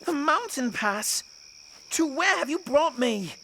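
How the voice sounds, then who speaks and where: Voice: high voice, big pitch swings, slow pace, fairly bright tone, slight background noise, exaggerated voice. A performer playing a spoiled, indignant boy prince in an audio drama, haughty and full of protest. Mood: incredulous, complaining.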